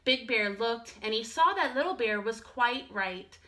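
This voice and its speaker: A woman with a bright, slightly high voice reads to young children like a primary school teacher. Her pitch moves up and down a great deal. The delivery is expressive storybook narration aimed straight at the listeners. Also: a little slow. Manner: expressive, addressing children directly. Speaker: first-grade teacher.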